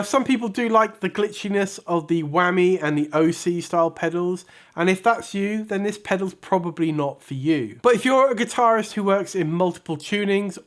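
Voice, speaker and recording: A man hosting a review channel, giving a scripted product review with measured, emphatic presenter delivery. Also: sits high. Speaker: host of a guitar pedal review channel.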